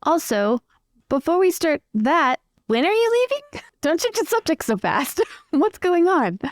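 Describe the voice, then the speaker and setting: A woman's voice: very high voice, very animated, a little slow, no room sound, studio quality, exaggerated voice. Woman playing a curious, chatty young lady. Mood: inquisitive. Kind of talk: improvised in-character roleplay dialogue.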